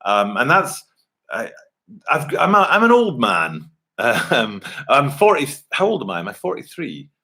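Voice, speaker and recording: A man's voice: mid-range voice, huge pitch swings, measured pace, sharp tone. A man, chatting casually on a live stream from his home computer, rambling with lots of fillers and restarts. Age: 40s. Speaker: livestream host. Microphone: computer webcam mic.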